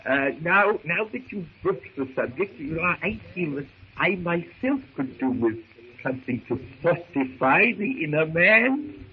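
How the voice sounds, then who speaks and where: Doddery pedantic old professor, high swoopy voice, dithers a lot, old radio drama. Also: male voice, sing-song, a little slow. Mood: flustered, dithering. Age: older. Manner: dithering, stammering, pompous formal diction. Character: fussy, bumbling, pedantic old professor and doting father. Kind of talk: 1930s radio serial acting. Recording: muffled, hissy 1930s radio recording.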